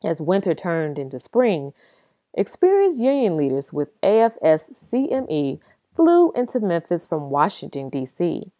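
Woman reader, lowish voice, expressive storybook narration, close dry mic. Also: no echo. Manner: lively, expressive storytelling with dramatic pauses. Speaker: reader of a picture book.

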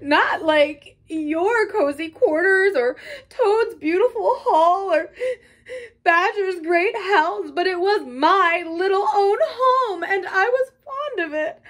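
A woman's voice: very high-pitched, slow pace, fairly bright tone, voice pushed up, exaggerated voice. A woman voicing a small, timid male mole from a storybook, tearful and pleading in a broken, plaintive way. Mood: sobbing, heartbroken. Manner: sobbing, broken, plaintive delivery. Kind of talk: storybook character acting.

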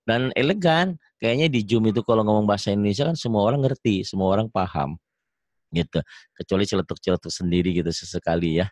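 A lowish-voiced man with a Sundanese accent leads a reading lesson, stretching each syllable long and slow before correcting and praising. The sound is dry and close, with no room echo. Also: darkish tone. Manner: drills syllables slowly, drawn out, corrects and praises. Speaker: Quran reading teacher. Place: home, on a video call.

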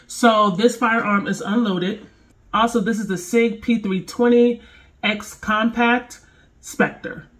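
A woman's voice: fairly high pitch, steady tone, slow pace. A woman in her thirties, stuffy from a cold, chatting casually to her viewers as she reviews gear in her home kitchen. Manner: chatty, speaks directly to viewers.

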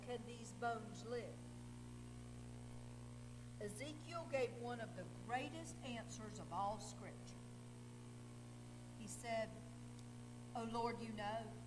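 A woman's voice: on the high side, slow pace, long pauses. A middle-aged woman pastor preaching a dramatic sermon from the pulpit, voicing God and the prophet in turn. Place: large church sanctuary. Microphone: far camera at pulpit. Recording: distant reverberant church recording with hum.

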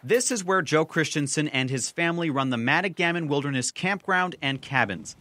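A man's voice: fairly high pitch, expressive, a little fast, bright, forceful tone, no echo. A man narrating a travel news feature in scripted voice-over, with wry, dry humor. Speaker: travel show host and narrator.

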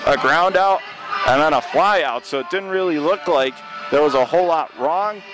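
An American man calling baseball play-by-play for radio from a stadium press box, in a measured, descriptive way with plenty of detail. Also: fairly high pitch, music in the background, rough recording. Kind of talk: live sports radio play-by-play commentary.

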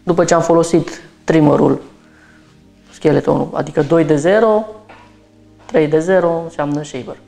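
A man's voice: a little high, fairly even intonation, gravelly, pauses often, warm tone, soft background music. A man in his thirties from Romania, a barber who explains each step of a haircut while he works, talking through it in a small shop.

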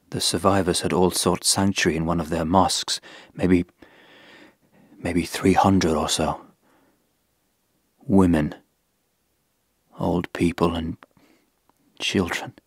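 An actor playing a haunted, guilt-stricken crusader, recounting in a halting, anguished way in a historical audio drama. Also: male voice, low voice, level tone, breathy edge, no room sound, clean studio sound, heavily acted. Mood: hushed horror. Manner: halting, repeating words, anguished.

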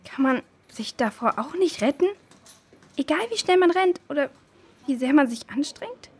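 A woman's voice: high-pitched, lively intonation, normal speed, a little mellow. A woman playing a sad, questioning young girl with a hesitant, searching way of talking in an audio drama. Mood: worried.

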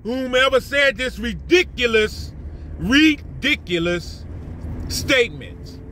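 A man's voice: takes it slowly, a little hiss or hum. An older man, ranting animatedly into a phone camera from his parked car and repeating his points. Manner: animated, indignant, repeats himself, jumps up in pitch when worked up. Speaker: vlogger. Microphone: phone camera at arm's length.

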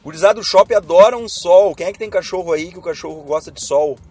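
A young Brazilian man from the far south chats casually to his viewers from behind the wheel, his gaucho accent clear and his voice a little hoarse from a cold. He sits on the higher side for a man, and the sound is picked up inside the car by a dash-mounted camera, with faint music in the background. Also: fast, darkish tone. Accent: southern Brazilian, Rio Grande do Sul. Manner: chatty, addresses viewers directly. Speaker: YouTuber. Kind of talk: casual driving vlog chat. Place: inside a car in city traffic. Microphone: camera mounted on dashboard.

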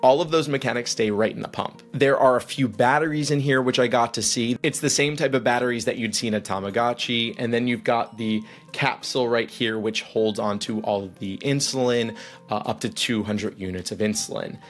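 A man in his thirties hosting a vlog, explaining a device in an upbeat, lightly joking way, recorded in a small studio room on a boom-arm mic. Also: fairly even intonation, brisk, soft background music. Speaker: vlog host. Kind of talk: explainer narration.

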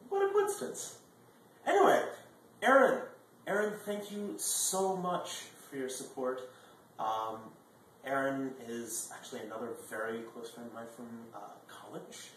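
A man's voice: mid-range voice, sing-song, unhurried, fairly bright tone. A man in his twenties hosting a video blog, chatting casually and animatedly to the camera, with sudden jumps in volume. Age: 20s. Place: indoor dining room at a table. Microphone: far camera microphone. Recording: echoey room, distant mic, faint noise.

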